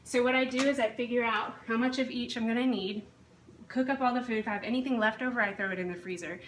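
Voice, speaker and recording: A woman's voice: on the high side, quick pace. A woman in her thirties, a fitness coach, talking casually and matter-of-factly to camera as she explains her routine in a home kitchen. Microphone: camera a few feet away. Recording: echoey kitchen, distant mic, faint hiss.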